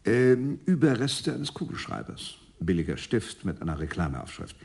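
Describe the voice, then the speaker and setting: An actor playing a precise lab expert in a radio crime play, reporting facts plainly. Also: male voice, fairly low pitch, big pitch swings, normal speed, creaky, darkish tone. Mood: factual. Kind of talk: 1980s German radio crime play acting.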